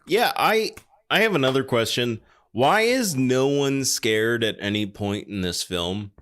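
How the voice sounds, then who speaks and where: A man's voice: mid-range voice, animated, measured pace, a bit bright. A man hosting a podcast, talking casually about films in rambling, exasperated explanations. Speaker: podcast host. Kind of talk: casual film discussion.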